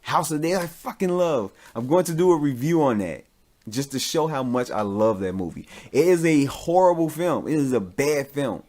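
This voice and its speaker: A man's voice: fairly high pitch, animated, moderate pace, darkish tone. A man hosting a podcast, giving casual, rambling opinions in an informal, mildly profane way as he thinks aloud. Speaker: podcast host.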